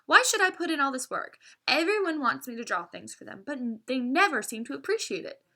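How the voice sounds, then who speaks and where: An earnest young schoolboy from a children's story, voiced by a woman. He has a high, bright voice and a plaintive way of talking. He sounds like someone who feels unappreciated. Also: very animated, brisk, full character voice. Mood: hurt.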